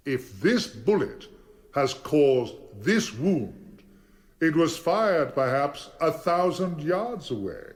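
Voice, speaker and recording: An actor playing a formidable barrister in a courtroom radio drama, probing witnesses in a deliberate, theatrical and insistent way. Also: male voice, fairly high pitch. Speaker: defence barrister.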